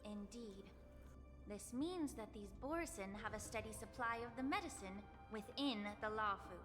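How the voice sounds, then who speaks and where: An actress performs a young female healer from a fantasy game, high in pitch, courteous and exact. Her scholarly delivery carries a long reverb, with music clearly behind it. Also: moderate pace, natural voice. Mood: thoughtful, serious. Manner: formal, courteous, precise. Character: polite, studious young female healer investigating a case. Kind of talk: scripted video game dialogue.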